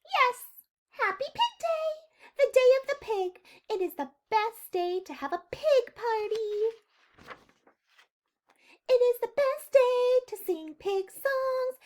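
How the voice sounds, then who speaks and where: A woman voicing a cheerful, excitable young female pig in a storybook read-aloud, in a sing-song, exclaiming way. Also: very high-pitched, slow pace, full character voice. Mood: excited.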